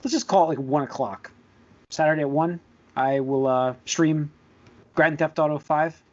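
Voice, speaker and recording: A man hosting a podcast in casual chat, halting and hedging, often repeating his words as he goes. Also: a little high, a little mellow. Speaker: podcast host. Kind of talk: casual chat about movies and shows. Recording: dull lo-fi voice recording.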